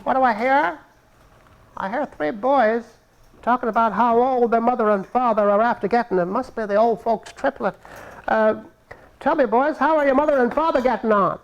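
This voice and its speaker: A man's voice: very high voice, moderate pace, lo-fi sound, pitched above the performer's own voice. A man voicing an old blind basket seller who cries his wares and slyly drops advice. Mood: hawking, sly. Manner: hawking cries, creaky and sly. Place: stage before a live audience.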